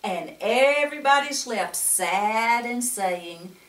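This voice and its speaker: An older woman with a fairly high, very animated voice reads a children's picture book in an echoey room. She talks to her young listeners in a grandmotherly manner, breaking off for coaxing questions and chatty asides. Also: slow pace. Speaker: storyteller reading to children. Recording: echoey room, distant mic.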